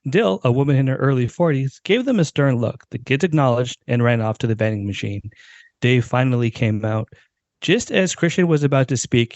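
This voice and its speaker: A man's voice: medium pitch, creaky, a little mellow, no room sound, lo-fi sound. A man, a podcast co-host, giving a dramatic reading of a short story and now and then tripping over words.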